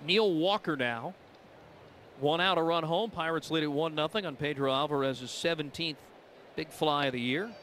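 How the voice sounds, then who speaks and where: A man's voice: on the high side, normal speed, a bit bright, slight background noise. A man calling a baseball game live as the play-by-play announcer.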